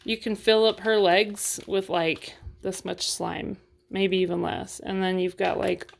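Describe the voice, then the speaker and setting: A woman's voice: mid-range voice, flat delivery, measured pace, gravelly. A woman chatting casually through a doll unboxing review, frank and full of fillers, while losing her voice.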